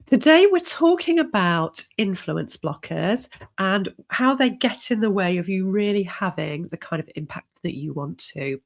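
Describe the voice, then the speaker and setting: Husky-edged woman coach with a cold. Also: medium pitch, animated, measured pace. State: has a cold. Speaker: leadership coach hosting a live stream. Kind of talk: solo live stream talk.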